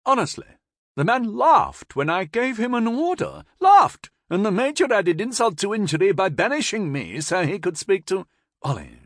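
A man's voice: mid-range voice, big pitch swings, normal speed, a bit bright, husky, no echo, studio quality, pitched above the performer's own voice. A man voicing an obnoxious, entitled young aristocratic army officer in an audiobook, pompous and blustering with indignation. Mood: wounded outrage.

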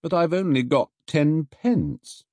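A man's voice: fairly high pitch, slow, a little mellow, no echo, voice pushed up. A man voicing a polite, earnest young male bear with a plaintive manner in an audiobook reading. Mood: sad. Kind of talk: audiobook character voice.

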